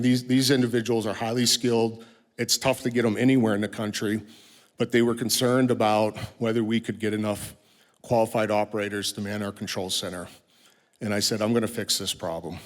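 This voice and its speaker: A man making prepared remarks at an event, telling a personal story as a company executive. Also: on the low side. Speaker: company executive announcing a donation. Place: event stage, public address.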